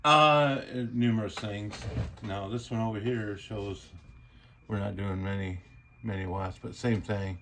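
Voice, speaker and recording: A man's voice: on the low side, steady tone, unhurried, a bit bright, slight background noise. A man giving a casual hands-on demonstration in a folksy, colloquial, rambling way. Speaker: radio hobbyist demonstrating equipment.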